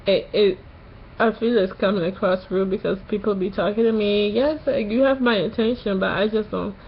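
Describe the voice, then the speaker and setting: A young woman vlogging just after tonsil surgery, a little nasal, talking slowly through a sore throat with many pauses. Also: mid-range voice, steady tone, muffled recording, a little hiss or hum. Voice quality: slightly nasal. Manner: slow, halting, pauses often. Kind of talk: casual vlog to camera.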